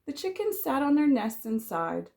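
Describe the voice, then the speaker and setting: A woman teacher reading a children's storybook aloud, dramatic and expressive, drawing out the rhyming words. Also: sits high, normal speed, vocal fry.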